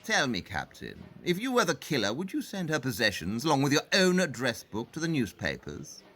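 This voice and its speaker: An actor playing a brilliant, haughty detective in an audio play, speaking in a pompous, deliberate and condescending manner. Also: male voice, medium pitch, wildly swooping pitch, a bit bright, full character voice. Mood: deducing, assured. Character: brilliant, haughty, dismissive male master detective. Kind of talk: theatrical audio play acting.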